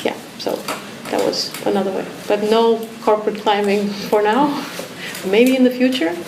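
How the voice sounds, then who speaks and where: A woman's voice: medium pitch, unhurried, vocal fry. A woman from Romania, an artist, speaking reflectively with many restarts and fillers in a talk discussion. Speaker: artist guest. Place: art academy pavilion. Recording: echoey room with background noise.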